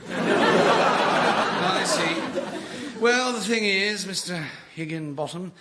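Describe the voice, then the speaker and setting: A man's voice: high-pitched, expressive, very slow, a loud crowd, very noisy, full character voice. An actor playing a polite straight man who gives advice with faint exasperation in a radio sitcom. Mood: earnest.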